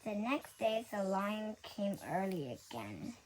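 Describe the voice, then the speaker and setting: A woman reading a children's book aloud in a halting way, often repeating and correcting her words. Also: medium pitch, sing-song, darkish tone, rough recording. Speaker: storybook reader. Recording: faint background noise.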